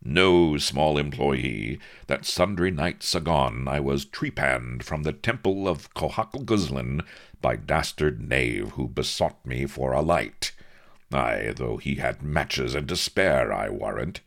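A man's voice: extremely low pitch, expressive, takes it slowly, gravelly, full character voice. A man voicing a young, heroic naval captain, pompous and noble, with stern, grandiloquent old-fashioned speech in a comic storybook reading. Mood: cool, lofty. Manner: grandiloquent mock-archaic speech, stern and cool.

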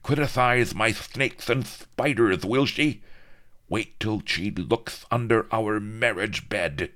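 A man's voice: fairly low pitch, slow pace, a bit bright, voice pushed up. A man voicing a cruel, blustering male viceroy who screams, cackles and gloats in a theatrical storybook reading. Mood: gloating.

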